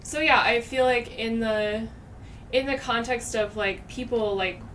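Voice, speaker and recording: A woman's voice: fairly high pitch, measured pace, fairly bright tone. A woman hosting a podcast in casual, reflective chat, thinking aloud with many fillers. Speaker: podcast host. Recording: distant mic, background noise.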